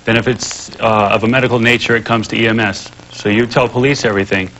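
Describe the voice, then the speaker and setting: A man's voice: fairly low pitch, fairly even intonation, fast, a bit bright. A man who takes emergency calls, explaining procedure conversationally as an interview guest. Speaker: 911 ambulance call-taker, guest. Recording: dull, faintly noisy old tape.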